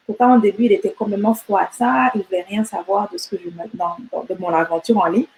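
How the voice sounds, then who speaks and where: A middle-aged woman from Rwanda talks as an interview guest in a relaxed, conversational way. Her delivery is animated, with frequent restarts and repeated words as she searches for what to say next. Her pitch sits in an ordinary middle range. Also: fast, low-quality recording. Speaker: guest entrepreneur. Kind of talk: casual interview chat.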